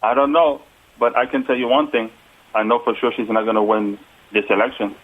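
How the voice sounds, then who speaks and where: A man with a Puerto Rican accent, a political candidate in a phone-in interview, speaking earnestly and haltingly with many fillers. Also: mid-range voice. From United States. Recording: tinny phone line.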